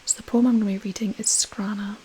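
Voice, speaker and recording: A woman with a Shetland Scottish accent speaks softly and breathily in a gentle, restrained ASMR poetry-reading style. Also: mid-range voice, fast talker, a little hiss or hum, rough recording. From Shetland, Scotland. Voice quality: soft, whispery, breathy. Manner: gentle, restrained, relaxed delivery.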